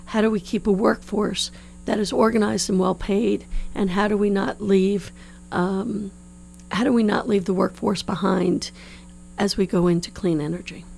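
Woman, 50s, candidate in an interview. Gravelly, slightly hoarse, earnest and explanatory, mid-pitched, with background hum. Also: fairly even intonation, moderate pace, a little mellow. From New Orleans, United States. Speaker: political candidate being interviewed. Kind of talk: conversational interview answers. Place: radio studio. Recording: clear background hum and noise.

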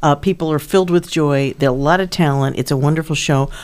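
Low-voiced chatty woman radio host. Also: quick pace, a little mellow. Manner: chatty, upbeat, playful. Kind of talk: casual morning radio interview.

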